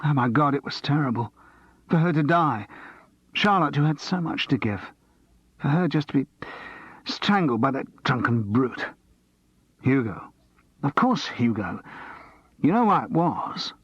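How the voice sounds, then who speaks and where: A man voicing a vain, theatrical young male actor who talks with arrogant self-importance, husky and a bit muffled. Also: mid-range voice, expressive, a little slow, pauses often, no echo, pitched above the performer's own voice. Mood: overwrought.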